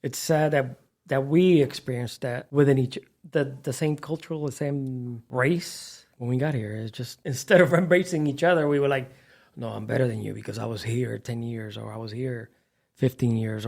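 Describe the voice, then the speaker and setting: A man's voice: mid-range voice, steady tone, moderate pace, vocal fry, darkish tone. A middle-aged man from Puerto Rico with a Puerto Rican accent, co-hosting a casual podcast, emphatic and switching between English and Spanish. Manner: emphatic and heated. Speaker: podcast co-host. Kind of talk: casual chat.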